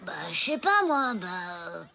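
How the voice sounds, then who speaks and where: A woman's voice: sits high, big pitch swings, normal speed, creaky, noisy background, exaggerated voice. A woman voicing a mild, hesitant schoolboy, the loyal best friend in a cartoon, who speaks tactfully. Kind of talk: animated cartoon dialogue.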